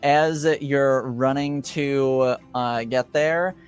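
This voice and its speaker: Man, game master, tabletop roleplaying narration, halting, conversational, medium pitch, light music behind. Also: steady tone, smooth.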